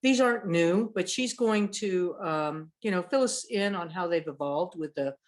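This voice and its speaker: A man co-hosting an online meetup, announcing an upcoming speaker with enthusiasm and a few halting fillers. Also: high voice, expressive. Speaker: meetup co-host.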